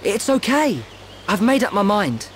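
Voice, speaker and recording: A modest, plucky boy in a fantasy game, high-pitched and lively in intonation. Faint ambience is behind him. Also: measured pace, slight background noise, exaggerated voice. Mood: resolved. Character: plucky, modest young boy eager to help. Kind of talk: video game cutscene acting.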